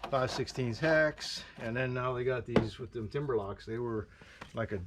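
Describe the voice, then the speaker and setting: A man's voice: mid-range voice, moderate pace. A middle-aged man, a tradesman, explains his work in a casual, plain-spoken, easygoing way. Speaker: tradesman, carpenter on a repair job. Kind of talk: casual hands-on explaining while working. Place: job site in an old building. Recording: clattery, noisy job-site camcorder audio.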